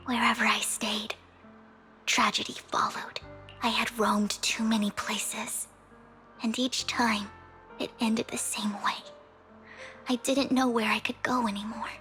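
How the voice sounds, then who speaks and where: A soft-spoken devoted young woman. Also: a little high, flat delivery, unhurried, soft background music. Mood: whispered confession. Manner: hushed, breathy confiding delivery. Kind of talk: video game cutscene dialogue.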